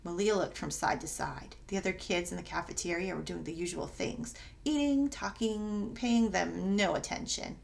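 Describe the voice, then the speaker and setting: A woman reading a children's story aloud as narrator, in an expressive, dramatic and emphatic way. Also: lowish voice, moderate pace, creaky, hardly any pauses. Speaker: story reader.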